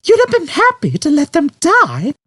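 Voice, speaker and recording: A man voicing a patient, firm woman aboard a spaceship in an audiobook, bright and compassionate in manner. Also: extremely high pitch, wildly swooping pitch, normal speed, dry recording, pitched above the performer's own voice, heavily acted. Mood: reproachful.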